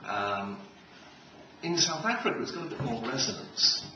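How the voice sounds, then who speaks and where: A middle-aged man speaks in public with a wry, animated delivery, telling anecdotes as a keynote speaker. His voice is heard from a distance in a very reverberant hall, with clear noise on the recording. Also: medium pitch, normal speed. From Israel. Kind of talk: humorous public talk with anecdotes. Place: large room with an audience. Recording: echoey, noisy hall, distant microphone.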